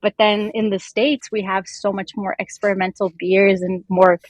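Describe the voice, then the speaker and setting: A woman's voice: mid-range voice, level tone, moderate pace, no echo, poor audio. A talkative woman, a native Turkish speaker raised in Canada and the US, chatting informally as a podcast guest. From immigrant raised in Canada and the US. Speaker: podcast guest, beer content creator. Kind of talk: casual chatty interview.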